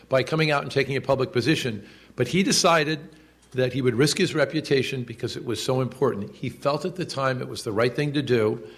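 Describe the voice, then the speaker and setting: Measured older man giving a speech. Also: mid-range voice. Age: 60s. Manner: measured, reads quotes aloud with emphasis; loud projecting voice. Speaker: school official giving a speech. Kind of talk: formal commemorative speech. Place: indoor event hall.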